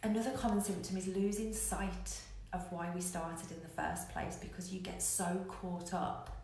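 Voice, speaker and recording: A woman's voice: lowish voice, fairly even intonation, normal speed, darkish tone. A woman giving a candid live coaching talk to online viewers, emphatic and repeating phrases to drive points home. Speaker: business coach hosting a live online show. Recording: very echoey room, faint noise.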